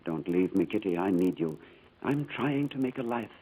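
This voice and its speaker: A man's voice: on the low side, lively intonation, normal speed, husky. A man playing a courteous, distracted, haunted English businessman with a hesitant manner, in 1940s radio drama style. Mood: distracted, troubled. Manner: hesitant, gentlemanly. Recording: muffled old radio recording, music behind.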